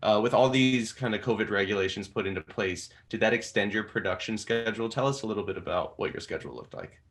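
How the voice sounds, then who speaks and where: Low-voiced male interviewer. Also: fast. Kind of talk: interview questions.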